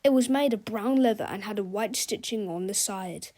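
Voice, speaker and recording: A woman's voice: medium pitch, moderate pace, warm tone. A woman narrating a children's storybook as a read-aloud.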